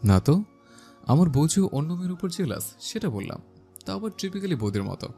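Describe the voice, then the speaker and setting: A man acts out a young husband in an audio romance drama, mischievous and teasing. His voice is mellow, with a highly animated pitch, and he mixes in English words. Faint music plays underneath. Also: a little fast, creaky.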